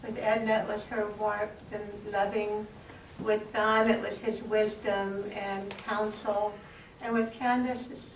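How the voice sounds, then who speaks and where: A woman hosting a live event, giving an informal ceremonial introduction speech. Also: medium pitch. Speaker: event host introducing a poet. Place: live event room, recorded from a distance. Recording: echoey, distant microphone, clear background noise.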